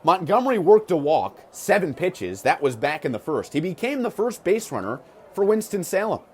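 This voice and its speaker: Higher-pitched American baseball play-by-play man. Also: darkish tone. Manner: punchy, clipped calls mixed with stat-filled asides. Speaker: baseball radio play-by-play announcer. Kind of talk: live sports commentary. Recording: clear ballpark noise behind the voice.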